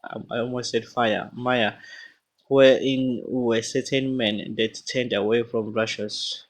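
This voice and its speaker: A man's voice: mid-range voice, fairly even intonation. A man whose first language is not English reads scripture aloud and comments on it casually, often stopping to correct himself and exclaim. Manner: halting, stops often to correct words and exclaim. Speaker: Bible reader and commentator.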